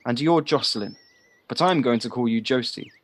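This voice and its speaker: A man's voice: medium pitch, animated, moderate pace, lightly acted. A man acting a frank, earnest boyish village lad in an audio play, recorded at home. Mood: cheerful. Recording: home recording on personal device, faint noise.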